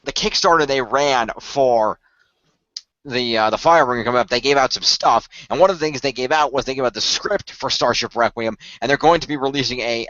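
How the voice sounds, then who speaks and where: A man's voice: mid-range voice, fairly bright tone, dry recording, dull audio. A man co-hosting a casual podcast, rambling on in detail with a fan's enthusiasm. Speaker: podcast co-host.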